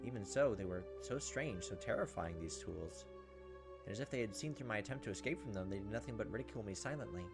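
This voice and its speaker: A man's voice: fairly low pitch, expressive, quick pace. A man hosting a gaming video, reading visual novel text aloud dramatically with joking, exasperated asides. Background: game music and cricket sounds.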